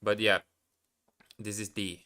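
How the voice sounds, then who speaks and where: A man with a Portuguese mother tongue speaks slowly and unhurriedly. His lowish voice is bright and crisp as he talks through word forms, hesitating and correcting himself along the way. He sounds like a learner studying live for viewers. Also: little pitch movement. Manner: hesitant, self-correcting, muses aloud. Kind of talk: thinking aloud while studying.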